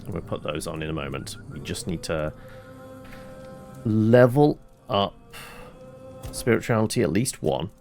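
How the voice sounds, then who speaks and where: A man's voice: sits low, expressive, a little slow, long pauses, a little mellow, soft background music. A man doing casual gameplay commentary as a streamer, musing aloud and answering his own questions. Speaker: video game streamer.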